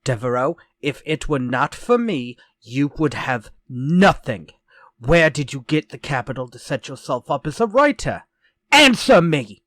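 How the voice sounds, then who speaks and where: An actor playing a vengeful, menacing ex-convict murderer in a retro radio drama, theatrical, taunting and deliberate. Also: male voice, a little high, very animated, a bit bright, no room sound. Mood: demanding, angry.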